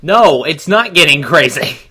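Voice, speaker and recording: A man with a higher-pitched, bright voice and very animated intonation heckles as a co-host. He riffs casually, with sarcasm and comic exasperation. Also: a little slow, husky.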